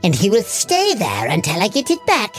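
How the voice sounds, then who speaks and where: A woman voicing a cackling, scheming female witch in a children's bedtime story, drawn-out and theatrical. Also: medium pitch, wildly swooping pitch, soft background music. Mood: haughty. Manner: drawn-out, sing-song and theatrical.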